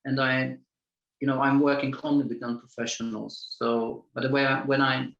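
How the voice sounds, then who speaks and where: A film director answers questions in English, searching for words and circling back through repeated phrases. His voice sits at a middling pitch and carries a roomy echo. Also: little pitch movement, gravelly, fairly bright tone, highs cut off. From Poland. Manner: halting, many repeated words and restarts. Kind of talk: festival Q&A answer.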